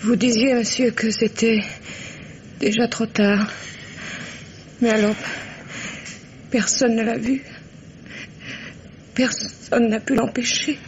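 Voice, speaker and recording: A woman's voice: on the high side, steady tone, slow, pauses often. An actress playing a fragile, despairing mother in 1950s French radio drama style, plaintive and faltering. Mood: stunned, plaintive. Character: grief-stricken mother, fragile, shocked, despairing. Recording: dull, noisy old radio recording.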